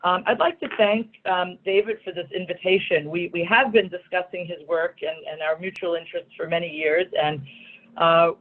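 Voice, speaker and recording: A woman's voice: on the low side, fairly even intonation, normal speed. Gracious woman lecturing, tinny phone-like sound. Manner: warm, gracious, articulate. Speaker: law school dean giving a talk. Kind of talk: lecture over a video call. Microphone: video call.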